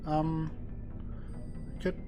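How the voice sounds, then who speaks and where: A man's voice: fairly high pitch, little pitch movement, drawn-out words. A man giving casual live commentary on a video game playthrough, in a dry, offhand joking manner. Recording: voice over game music. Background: game music.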